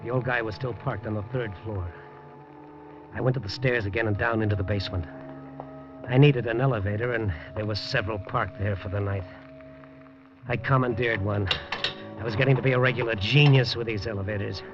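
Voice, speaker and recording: A man's voice: on the low side, steady tone, brisk, lightly acted. An actor narrating as a wisecracking male newspaper reporter in a 1950s radio drama, dry and hardboiled. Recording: dull lo-fi 1950s radio broadcast with music.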